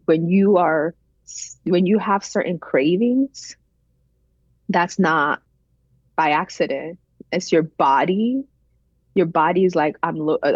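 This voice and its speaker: A woman's voice: low-pitched, no room sound, muffled recording. A woman interview guest telling her personal story in a reflective, emphatic way, repeating phrases for weight. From Benin, later grew up in the United States.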